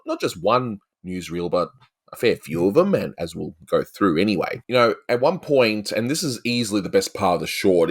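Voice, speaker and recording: A man with an Australian accent giving casual, rambling, chatty commentary as the host of a review show. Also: deep voice. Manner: rambling, chatty, peppered with "you know".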